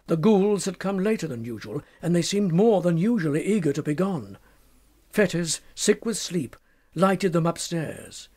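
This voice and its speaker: A man narrating a literary tale as an audiobook, dramatic, measured and formal in his delivery. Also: a little high, darkish tone.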